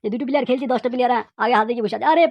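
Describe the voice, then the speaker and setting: A woman's voice: on the high side, steady tone, rapid-fire, dry recording, lo-fi sound. A woman voicing an outspoken cartoon pupil, lecturing emphatically with repeated phrases and rhetorical questions. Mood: indignant, preachy. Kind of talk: cartoon comedy monologue.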